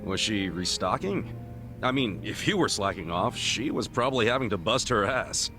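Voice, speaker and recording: Earnest, low-voiced American buddy. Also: male voice, expressive, normal speed. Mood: curious, surprised. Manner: blunt, colloquial, earnest. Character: earnest, sympathetic, plain-spoken male buddy listening. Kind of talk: video game dub, conversational. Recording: music behind the voice.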